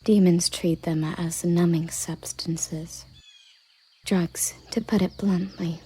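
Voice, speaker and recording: A woman voicing a dutiful, formal elven guard captain in a breathy, measured ASMR roleplay whisper. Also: on the low side, fairly even intonation, warm tone. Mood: calm, explanatory. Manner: formal, measured, matter-of-fact.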